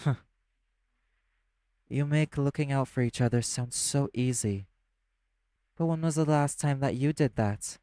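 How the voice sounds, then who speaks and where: A man's voice: mid-range voice, measured pace, long pauses, warm tone, no room sound, clean studio sound, natural voice. A man acting in an audio roleplay as a cynical, world-weary socialite who runs a club. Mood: scornful, confrontational. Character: apathetic, cynical socialite club manager and parent.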